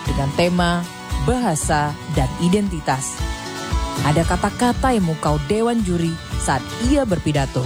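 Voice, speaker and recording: A woman narrating the story in a calm, scripted way. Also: lowish voice, moderate pace. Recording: loud music underneath.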